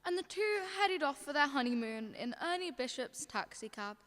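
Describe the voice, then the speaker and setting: A teenage student actress playing a composed heavenly storyteller narrating a radio drama. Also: female voice, sits high, sing-song, moderate pace. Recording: long hall reverb.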